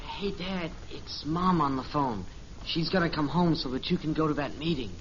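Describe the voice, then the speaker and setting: A man's voice: fairly high pitch, moderate pace, a bit bright, close to an everyday voice. A young male actor playing an eager, boyish teenage son in a 1950s radio sitcom. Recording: muffled old radio recording, faint hiss.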